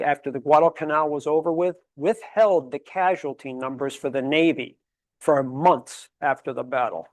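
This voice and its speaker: Emphatic high-voiced male history lecturer. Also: no room sound. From Connecticut, USA. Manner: emphatic, rhetorical questions, lists dates and figures. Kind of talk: public library history lecture. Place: library meeting room.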